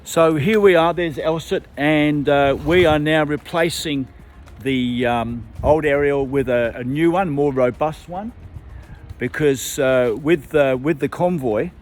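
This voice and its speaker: A man's voice: fairly high pitch, a little slow, soft background music. Hesitant man explaining radios. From Australia. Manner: hesitant, many ums and uhs. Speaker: tour guide. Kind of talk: casual explainer video. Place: radio shop and workshop.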